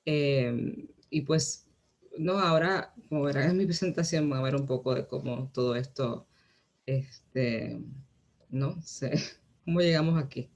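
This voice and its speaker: A woman from Rico, giving a lecture as a guest curator, often pausing with fillers and restarting her sentences. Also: extremely low pitch, a little mellow, lo-fi sound. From Puerto Rico. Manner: hesitant, many fillers and restarts. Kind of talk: lecture presentation.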